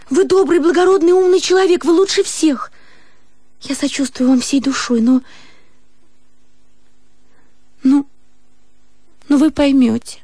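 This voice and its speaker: An actress playing an emotional, ambitious young woman in a radio drama, with theatrical, halting delivery. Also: high-pitched, dark tone, no echo. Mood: tender, distressed. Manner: emotional, halting, breaking off and repeating words. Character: young woman, artistic, ambitious, playful, refusing a suitor.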